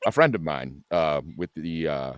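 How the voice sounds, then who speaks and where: A man's voice: extremely low pitch, lively intonation, moderate pace, clean tone, no echo, highs cut off. A man playing a reserved, courteous, formal male starship captain in a live script table read. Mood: awkward courtesy.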